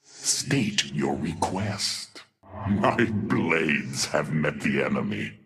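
A man voicing a cruel, arrogant alien warlord in a video game, gravelly, imperious and full of contempt. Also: a bass voice, expressive, extremely slow, a loud crowd, heavily acted. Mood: disdainful. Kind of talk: theatrical video game voice acting.

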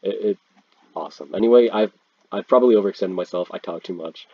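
Congested young guy, low husky voice. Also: quick pace, no room sound. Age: teens. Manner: laid-back, slangy, swears freely. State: congested from a cold. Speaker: video creator ranking instruments. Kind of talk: casual screen-recorded commentary. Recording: dull, lo-fi, dry sound.

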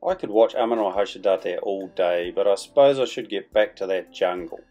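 A man's voice: low voice, normal speed, warm tone, lo-fi sound. Middle-aged Kiwi man telling a story. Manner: chatty, colloquial, playful. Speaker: vlog host. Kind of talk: casual vlog storytelling voice-over. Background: background music.

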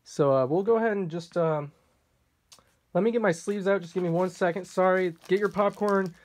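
A man casually narrating a card pack opening off camera, reading out card names, a little hoarse. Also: high-pitched, moderate pace. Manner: relaxed, reads card names off one by one. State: slightly hoarse, clearing throat. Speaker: card collector opening packs. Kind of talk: casual unboxing commentary. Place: indoors at a table.

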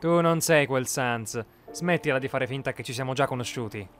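Man acting a bitter skeleton boy, cartoon dub, mid-pitched, confrontational, proud, music underneath. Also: moderate pace, fairly bright tone, lightly acted. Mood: defensive. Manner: confrontational, defensive. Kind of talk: animated fan dub acting.

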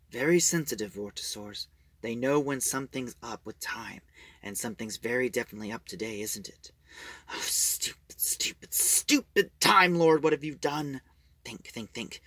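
A man voicing a brooding, self-reproaching alien time traveller, musing to himself in a hushed, dramatic way. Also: lowish voice, slightly rough, dry recording, voice pushed down. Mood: grave, then anguished muttering.

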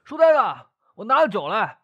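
A man's voice: sits high, big pitch swings, brisk, highs cut off. A man playing a brash, foul-mouthed burly rogue. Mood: swaggering. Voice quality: loud and swaggering. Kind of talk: amateur audio drama acting.

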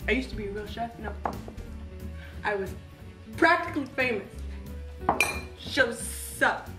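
A woman's voice: on the high side, expressive, unhurried. Woman acting an exasperated, volatile TV chef, echoey room. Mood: bitter, despairing. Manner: theatrical, swinging from muttering to shouting. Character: exasperated, bitter female TV cooking show chef, bossy and volatile. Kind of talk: acted comic dramatic skit. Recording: echoey room with music behind.

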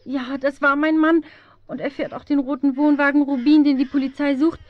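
A woman's voice: high-pitched, fairly even intonation, a little fast, no room sound, close to an everyday voice. An actress playing a frail, worried wife and mother in an audio drama, earnest and plaintive. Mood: anxious, pleading. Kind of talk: 1970s German radio play acting. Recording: dull vintage audio-drama recording.

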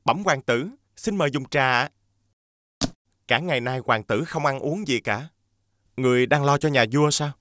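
An actor playing a fussy, loyal prince's servant in an animated fairy tale, deferential and theatrical. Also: male voice, medium pitch, a little slow, pauses often, a little hiss or hum, close to an everyday voice. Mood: deferential, concerned.

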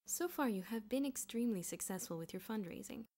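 Stern storybook headmaster, played by a woman: formal, measured, authoritative, with dry sarcasm. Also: medium pitch, expressive, dark tone, natural voice.

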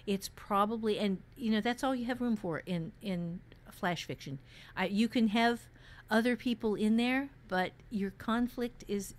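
A woman with a mid-pitched voice teaches fiction writing as a podcast co-host. She talks conversationally and with emphasis, voicing imagined questions as she explains. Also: darkish tone.

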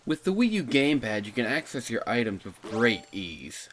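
A man's voice: medium pitch, very animated, normal speed, a bit bright, a little hiss or hum. A man giving a casual, scripted opinion narration as a video game reviewer. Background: background music.

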